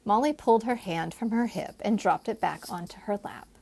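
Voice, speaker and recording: A woman's voice: mid-range voice, moderate pace, creaky, darkish tone, husky. A woman narrating a historical story as an author reading her own novel. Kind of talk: expressive audiobook narration.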